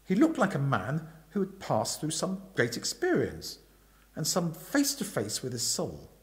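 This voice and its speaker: Male storyteller, comic narration, expressive, highish pitch, very varied intonation. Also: unhurried. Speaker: story reader.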